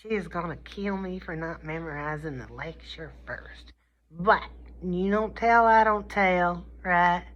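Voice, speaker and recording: Bright-voiced folksy woman lecturer with twang. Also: fairly low pitch, unhurried, highs cut off. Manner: folksy, playful, colloquial, checks in with listeners. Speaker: lecturer teaching a communication class. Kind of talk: informal, folksy comedic lecture.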